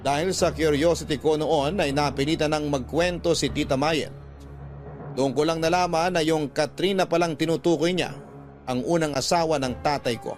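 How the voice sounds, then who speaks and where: A man acting as a reflective young Filipino letter sender in a radio drama. He narrates his past earnestly and with measured delivery, in a slightly high voice over soft music. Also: close to an everyday voice.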